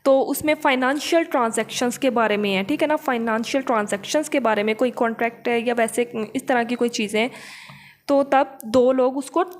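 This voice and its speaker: A woman's voice: fairly high pitch, a little fast. A woman gives an explanatory law lecture in a didactic way, often checking in with short tag questions. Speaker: law teacher. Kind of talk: explanatory lecture, reading English legal text then explaining it.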